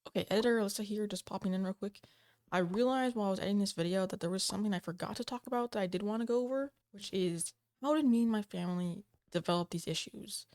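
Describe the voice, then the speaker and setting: A woman's voice: mid-range voice, fast, darkish tone. A woman talking casually to camera from home, rambling and hesitant with many fillers and restarts, her voice a little off from being sick. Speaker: gaming channel host. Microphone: desk microphone.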